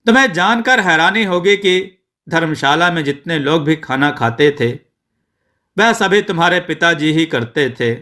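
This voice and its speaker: A male storyteller plays a faithful old shop servant in a moral tale. He speaks respectfully and earnestly, in a slightly high, bright voice. His explanation comes slowly and deliberately. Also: expressive. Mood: earnest, revealing.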